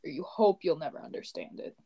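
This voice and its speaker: A young woman in casual conversation about poetry, soft-spoken and often murmuring agreement. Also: low voice, big pitch swings, fairly quick, gravelly, slightly rough, muffled recording. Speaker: co-host discussing poetry. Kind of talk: casual chat.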